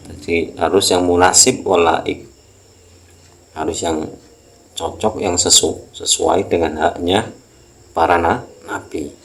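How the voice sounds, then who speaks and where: A man with a Javanese accent teaching an Islamic text, reading it out and explaining it in a halting, repetitive way. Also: low voice, gravelly. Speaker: religious teacher.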